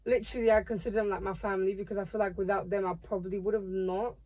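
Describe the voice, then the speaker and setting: A woman's voice: mid-range voice, rapid-fire. A young woman from Portugal, a singer guesting on a podcast, talking casually with lots of "like" fillers. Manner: relaxed, filler-heavy talk with many "like"s.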